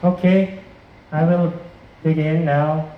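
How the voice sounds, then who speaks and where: A man's voice: on the high side, fairly even intonation, takes it slowly. Young Korean man running a class quiz. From South Korea. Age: 20s. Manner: chatty and encouraging, with fillers and restarts. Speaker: exchange student presenting a quiz. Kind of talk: classroom presentation. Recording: roomy, slightly muffled classroom audio.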